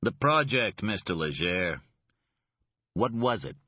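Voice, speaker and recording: A man voicing a calm, hard-edged private detective who speaks firmly and evenly. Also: sits low, slow pace, slight background noise, heavily acted. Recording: dull, dry lo-fi audio.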